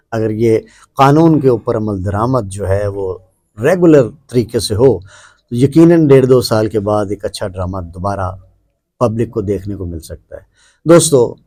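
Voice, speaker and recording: A man's voice: fairly low pitch, mellow, no echo. A middle-aged Pakistani man hosting a conversational interview, mixing English words and phrases into his talk. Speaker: host.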